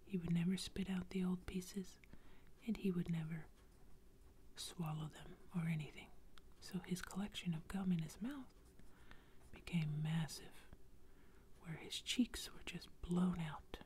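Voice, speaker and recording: Slow whispering woman telling a bedtime story. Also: fairly low pitch, a little hiss or hum. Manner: soft unvoiced whisper, improvised.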